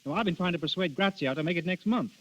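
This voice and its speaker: A man's voice: high voice, fast talker, a bit bright, a little hiss or hum. An actor playing an earnest young nobleman in love, in 1930s radio drama style. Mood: tender, puzzled. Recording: dull 1930s radio recording.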